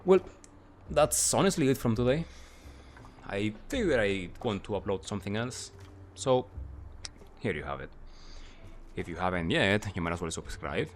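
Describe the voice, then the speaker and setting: A man's voice: fairly low pitch, very animated, moderate pace, pauses often, a little hiss or hum. A man doing gameplay commentary as a video creator, explaining in short clipped phrases and often asking himself questions before answering them.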